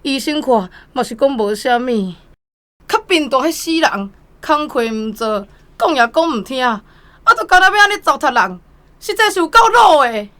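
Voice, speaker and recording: A woman's voice: sits high, lively intonation, moderate pace, a bit bright. An actress playing a worried mother who complains to a doctor about her sons, in a radio drama. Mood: complaining. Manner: complaining, insistent. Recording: music playing under the voice.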